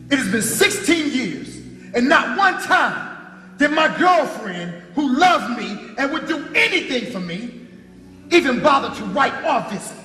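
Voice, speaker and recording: A proud, scornful businessman in a gospel stage play, his voice very high for a man and swinging widely. He leans theatrically on his words with bitter emphasis. It rings through a big echoey hall with music underneath. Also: bright, forceful tone, heavily acted. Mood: angry, hurt. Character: bitter, proud self-made businessman confronting his ex-girlfriend.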